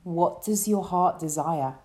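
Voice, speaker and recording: A woman leading a guided relaxation meditation as a yoga teacher, speaking in a soothing, gentle way. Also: fairly low pitch. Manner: soothing, gentle, unhurried instruction.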